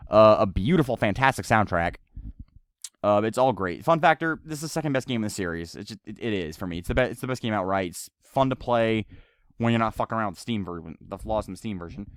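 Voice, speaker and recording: A man's voice: fairly low pitch, lively intonation, fast talker, no room sound, studio quality. A man giving an unscripted, ranting video game review, stammering and repeating himself as he rambles along.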